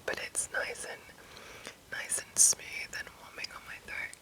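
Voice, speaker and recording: A woman hosting whispered ASMR, speaking in a soothing, breathy whisper, with a sore, breaking throat from being under the weather. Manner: slow, soothing, deliberate delivery. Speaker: ASMR channel host. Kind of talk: whispered ASMR, reading and commenting.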